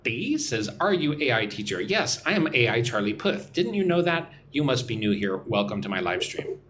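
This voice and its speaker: A young man from Texas runs a live-stream English class in a chatty, joking tone. His pitch moves around a lot as he reads viewers' comments and answers them. A faint hum of room ambience sits under his voice. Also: big pitch swings, normal speed, fairly bright tone. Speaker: English teacher and livestream host. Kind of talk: live-stream English lesson, reading chat. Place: home room in Vietnam.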